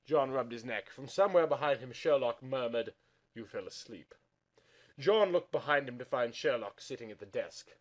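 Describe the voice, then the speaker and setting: A man narrates fiction in a theatrical reading style. His pitch swoops widely, and he overplays the drama, moving between hushed and loud delivery. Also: animated, brisk, few pauses.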